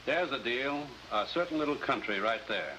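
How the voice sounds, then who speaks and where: A forceful agency boss, bright clipped voice, faint hiss of old 50s recording. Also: male voice, medium pitch, animated, normal speed. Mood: urgent, commanding. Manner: urgent, authoritative, clipped. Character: brisk, commanding male spy agency commissioner. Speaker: actor in a supporting role. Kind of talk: 1950s American spy drama acting. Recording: faintly noisy vintage 1950s broadcast recording.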